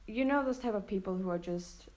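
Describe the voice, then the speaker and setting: A young woman from Sweden chatting on a student podcast, reflective and halting, often restarting her thoughts. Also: medium pitch, darkish tone. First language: Swedish. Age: teens. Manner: reflective, halting, self-doubting. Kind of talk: casual chat.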